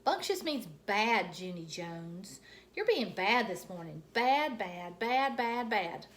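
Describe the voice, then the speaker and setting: A woman's voice: mid-range voice, big pitch swings, slow. A woman voicing a bossy, tattling first-grade girl who scolds in a chanting way, with noise behind. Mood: scolding. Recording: noticeable background noise.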